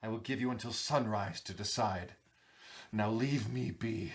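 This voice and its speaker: An actor playing an imperious, distrustful and tormented sultan in a dramatic, declamatory audio drama style. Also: male voice, lowish voice, unhurried. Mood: bitter, accusing. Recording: music bed behind the voice.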